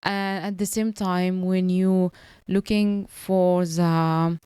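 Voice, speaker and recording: A woman's voice: on the low side, little pitch movement, slow, dark tone, clean tone, dry recording. A woman in her early thirties, a non-native English speaker, giving an earnest motivational talk and repeating her points. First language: not English. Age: early 30s. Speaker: motivational vlogger.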